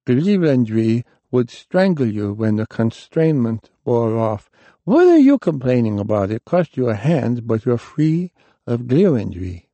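A man's voice: medium pitch, warm tone, no echo, pitched above the performer's own voice. A man voicing an ancient, frail male warlock who is dying, with a thin, strained old voice, in an audiobook reading. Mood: lecturing.

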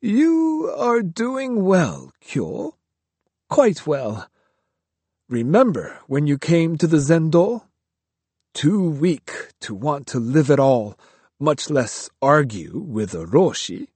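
A man voicing an old Zen master who speaks slowly and calmly, with gentle teasing. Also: a little high, husky, dry recording, pitched above the performer's own voice, full character voice. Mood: amused.

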